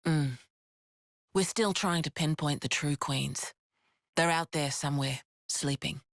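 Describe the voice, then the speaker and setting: An actress playing a frank, steady female resistance leader in a video game, with plain-spoken, measured storytelling. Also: deep voice, dry recording, studio quality. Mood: solemn explaining. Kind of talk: video game cutscene acting. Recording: music behind the voice.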